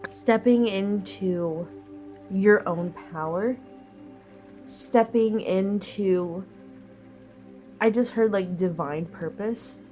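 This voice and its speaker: A woman's voice: mid-range voice, very slow, long pauses, mellow, soft background music. A woman giving a collective tarot reading while sick, sounding nasal and sniffly, talking in a thoughtful, meandering way with many fillers. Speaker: tarot reader.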